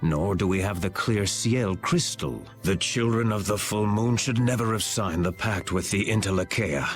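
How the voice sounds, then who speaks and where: An actor playing a weary, cryptic sage in a fantasy game, speaking formally and deliberately with a superior air. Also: male voice, lowish voice, soft background music, close to an everyday voice. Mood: lecturing, superior. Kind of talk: anime-style game voice acting.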